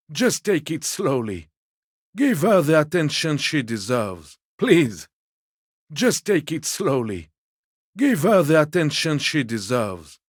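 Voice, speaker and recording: Husky, genteel old Frenchman. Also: fairly high pitch, dry recording, clean studio sound, heavily acted. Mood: gently pleading. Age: older. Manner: polite, hesitant, placating, mildly pompous. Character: fussy, timid, genteel old gourmand gentleman. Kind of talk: video game character dialogue.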